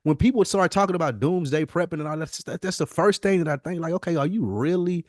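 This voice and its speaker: A man's voice: fairly high pitch, quick pace, a little mellow, no echo, clean studio sound. An American man in casual podcast chat, talking bluntly and emphatically with plenty of slang and swearing. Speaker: podcast co-host.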